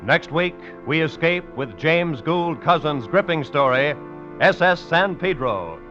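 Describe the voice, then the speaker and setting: Old time radio announcer guy, fairly high voice, formal, muffled recording with music. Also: animated, a bit bright. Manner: formal, measured enunciation. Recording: dull vintage 1940s radio with music.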